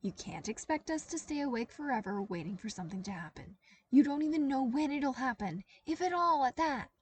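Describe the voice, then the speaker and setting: A woman's voice: sits high, lively intonation, fairly quick, recorded from a distance, slight background noise, voice pushed up. A woman doing a wry, tired young intern. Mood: exasperated. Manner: wry, whiny, sarcastic.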